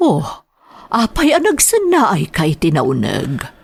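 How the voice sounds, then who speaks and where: A woman's voice: low-pitched, wildly swooping pitch, slow pace, creaky, slightly rough, no echo, clean studio sound, exaggerated voice. A woman acting an earnest, persuasive mother of a grown son in an Ilocano radio drama. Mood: concerned, coaxing.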